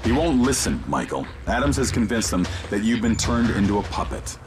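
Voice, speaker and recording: An actor playing a grave, urgent mission guide in a video game, giving deliberate, commanding instructions. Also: male voice, on the low side, big pitch swings. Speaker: mission guide over radio. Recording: loud game music behind the voice.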